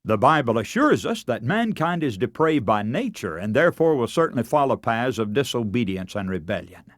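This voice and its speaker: American male preacher for a scripted radio sermon; formal and emphatic, widely varied intonation, mid-range pitch, very little room sound. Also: sing-song, fairly quick, no echo, studio quality. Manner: formal, emphatic oratorical delivery. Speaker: radio preacher and broadcast host.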